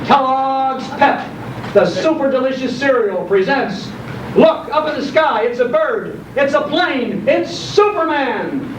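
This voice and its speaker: A man's voice: very high-pitched, animated, measured pace, voice pushed up. A man doing a theatrical old-time radio announcer, echoey. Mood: excited proclaiming. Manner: theatrical, emphatic, proclaiming. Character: booming, excited male 1940s radio serial announcer. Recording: echoey, distant, noisy live hall recording.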